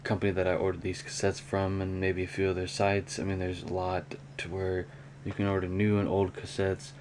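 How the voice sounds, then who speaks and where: Low-voiced man rambling casually. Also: completely flat delivery, darkish tone. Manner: rambling, halting delivery. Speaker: hobby vlogger, cassette collector. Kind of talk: casual unscripted show-and-tell vlog. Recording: clear background noise.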